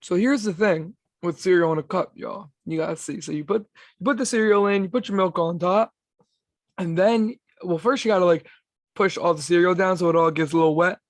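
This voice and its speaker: A young man with a raspy voice, co-hosting a podcast in laid-back, slangy casual chat. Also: sits high, a little fast, dry recording, studio quality. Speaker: podcast co-host.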